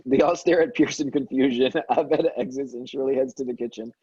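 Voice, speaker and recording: A man reads screenplay action lines as a scripted narrator. His tone is relaxed and a little husky. The recording is dry and slightly dull. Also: medium pitch, a little fast, dry recording. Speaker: stage-direction reader at a table read.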